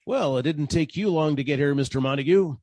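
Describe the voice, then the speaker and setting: An actor playing a wisecracking, unflappable private detective in an old-time radio drama, with a husky voice and a wry, brisk manner. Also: male voice, a little high, darkish tone, no echo. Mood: cool, dry.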